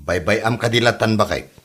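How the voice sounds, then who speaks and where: A man's voice: fairly low pitch, a bit bright. A man playing a skeptical, dismissive villager in an Ilocano comedy drama, with an Ilocano accent.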